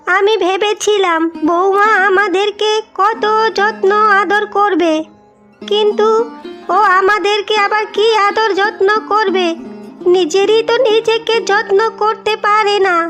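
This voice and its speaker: A woman's voice: very high-pitched, fairly bright tone. A woman voicing an older, bitter village mother-in-law in a cartoon story, nagging and complaining. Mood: irritated complaint. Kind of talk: Bengali cartoon moral story acting. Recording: music behind the voice.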